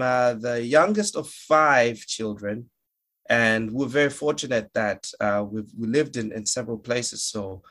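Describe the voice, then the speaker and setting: A man's voice: on the low side, a bit bright. Laughing, joking man from Malawi. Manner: laughs often, joking, stammering restarts. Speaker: actor and guest. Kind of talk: casual interview chat.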